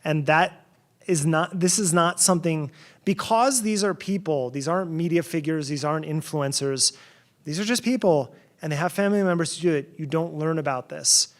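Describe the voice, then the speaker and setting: A man's voice: a little high, normal speed. A middle-aged man from New Jersey giving a conference keynote in a conversational way, with sarcastic asides and frequent restarts. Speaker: keynote speaker.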